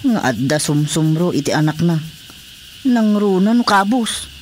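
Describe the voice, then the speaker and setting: Grumbling Filipino villager, cricket ambience. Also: female voice, fairly low pitch, lively intonation, a little slow, darkish tone, slight background noise. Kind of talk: Filipino radio drama acting.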